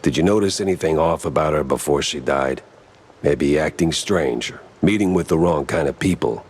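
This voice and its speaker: A man's voice: extremely low pitch, normal speed, husky. A man voicing a wry, world-weary mouse private detective in a noir video game, with a hard-boiled delivery. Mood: dry, probing. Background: music.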